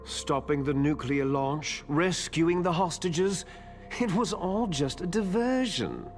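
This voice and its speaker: Game villain: bitter soldier twin, smug menace, higher-set male voice with dramatic swings, music behind. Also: expressive. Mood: smug taunting. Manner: theatrical, smug, drawn-out menacing delivery. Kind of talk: dramatic video game cutscene acting.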